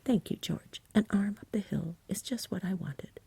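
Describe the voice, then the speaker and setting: A woman voicing a big, jolly, lazy male dragon who murmurs in short clipped phrases, in a soft whispered storybook reading. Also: mid-range voice, normal speed, creaky, slightly rough, dry recording, pitched above the performer's own voice. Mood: blustering, fussy.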